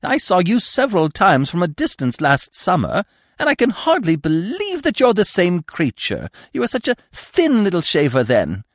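A man's voice: high-pitched, huge pitch swings, moderate pace, no room sound, clean studio sound, pitched above the performer's own voice, heavily acted. Guy doing a fussy chatty storybook squirrel, voice jumping all over, gushes on every word. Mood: gushing, excited. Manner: chattering, gushing, emphatic. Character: chatty, excitable, fussy male young squirrel.

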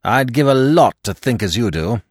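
A man's voice: mid-range voice, lively intonation, normal speed, slightly rough, no room sound, voice pushed up. A man voicing a loyal, blunt English secretary in an audiobook, dry and forthright in manner. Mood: grave, sharp. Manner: blunt, colloquial.

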